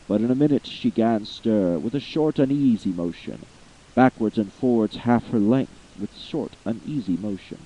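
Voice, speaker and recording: A man giving a slow, theatrical reading of a long narrative poem, swelling with feeling at the high points. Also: warm tone, dull audio. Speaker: amateur voice actor reading poetry. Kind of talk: dramatic poetry reading.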